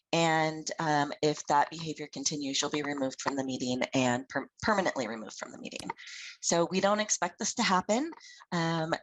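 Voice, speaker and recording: A woman with a very low voice runs a virtual public meeting over a video call. She is sick and losing her voice, so she speaks carefully and deliberately, with frequent ums. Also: a little hiss or hum, rough recording. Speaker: meeting facilitator. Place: virtual meeting, home or office.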